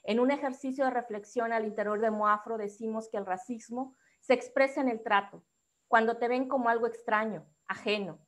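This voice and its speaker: A woman with a Mexican accent speaking as an activist on a discussion panel, delivering a prepared formal talk in a measured, articulate way. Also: fairly high pitch, fairly even intonation.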